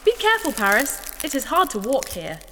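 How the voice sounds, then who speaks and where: A woman's voice: high-pitched, huge pitch swings, a little fast. A woman playing a small, curious, childlike robot in a sci-fi audio drama, speaking in formal literal phrases and calling itself by name. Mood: bright, curious. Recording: reverberant cave effect with faint noise.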